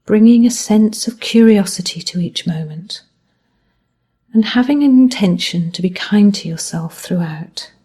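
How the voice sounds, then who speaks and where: Mellow, slightly husky woman guiding meditation. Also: mid-range voice, expressive. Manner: gentle, measured, with soft phrase endings. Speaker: mindfulness meditation teacher. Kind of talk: guided body scan meditation.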